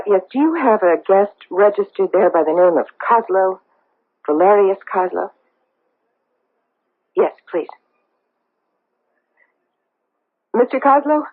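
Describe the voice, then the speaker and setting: A middle-aged society woman with something to hide in an old radio play. Her husky voice is evasive and anxious, hesitating and then turning defensive. The sound is narrow and tinny, like a vintage broadcast. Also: medium pitch. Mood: tense. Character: guarded socialite woman hiding her past, anxious, defensive. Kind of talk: 1970s radio drama acting.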